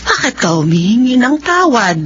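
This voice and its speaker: A woman's voice: fairly high pitch, sing-song, moderate pace, a bit bright, a little hiss or hum. A woman acting a tender, emotional older mother and grandmother in a radio drama, speaking with pleading feeling. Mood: tender apology. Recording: dull lo-fi radio drama, faint music.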